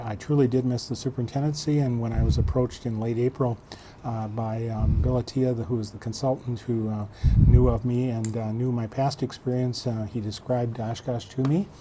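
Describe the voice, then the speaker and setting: Measured man in his sixties. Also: medium pitch, fairly even intonation, noisy background. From south central Minnesota. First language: German. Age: 60s. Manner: measured, halting, many fillers. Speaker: school superintendent guest. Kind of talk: local TV interview.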